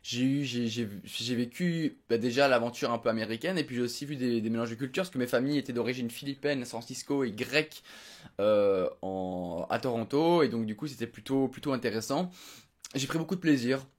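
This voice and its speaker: Young male vlogger, quick rambling delivery, lots of fillers, unscripted home recap. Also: medium pitch. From Belgium. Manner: rambling, many fillers and restarts. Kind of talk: unedited casual recap to camera. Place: home room.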